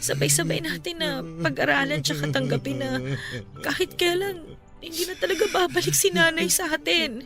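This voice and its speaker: A woman playing a gentle, consoling daughter. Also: high voice, expressive, moderate pace, over music. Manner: soft, respectful, consoling. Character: caring adult daughter, young adult, gentle and patient. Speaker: voice actress in a radio drama. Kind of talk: radio drama acting.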